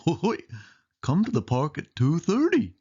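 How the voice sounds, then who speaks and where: A man's voice: fairly high pitch, huge pitch swings, measured pace, dark tone, husky, muffled recording, voice pushed up, exaggerated voice. A man voicing a bumbling, good-natured male cartoon dog with a dopey manner for a children's storybook. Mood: dopey, enthusiastic.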